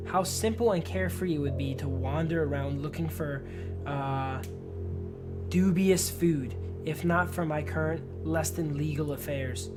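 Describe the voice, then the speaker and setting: A man livestreaming a game, reading its story text aloud and chatting in slang, stumbling over long words. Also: a little high. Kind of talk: narrating game text, casual chat with viewers.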